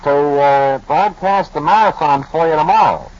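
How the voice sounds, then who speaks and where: Man playing bumbling male sports correspondent, raised pitch, halting, muddled, pompous, radio comedy, dull vintage recording. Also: faint music behind, close to an everyday voice. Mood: halting, self-important. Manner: halting, muddled, self-important. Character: bumbling, oblivious male sports reporter phoning in. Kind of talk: 1940s radio comedy sketch. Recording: dull, band-limited 1940s radio recording.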